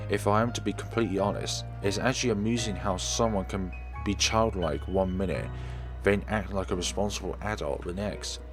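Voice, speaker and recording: A man with a fairly low voice reads visual novel narration aloud with expression. He occasionally trips on words and corrects them as he goes. Music plays underneath his voice. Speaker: let's player reading a visual novel aloud. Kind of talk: expressive read-aloud of first-person story narration. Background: background music.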